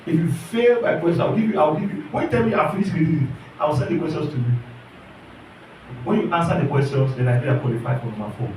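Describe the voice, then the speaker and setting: A man's voice: a little high, quick pace, a little mellow, slight background noise. A man teaching an online class, earnest and repetitive, often checking in with his listeners. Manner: earnest, repeats phrases, asks the class questions. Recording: echoey room, voice from far off.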